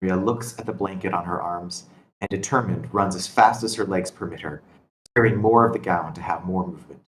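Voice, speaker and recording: A man's voice: low-pitched, fairly quick, a bit bright. A man reading screenplay action lines as scripted narration at a table read, recorded in an echoey room. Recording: echoey room with faint noise.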